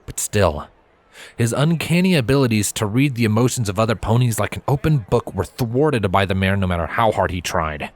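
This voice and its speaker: A man's voice: fairly low pitch, expressive, normal speed. A man reading a scripted story aloud as its narrator. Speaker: fan fiction narrator. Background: background music.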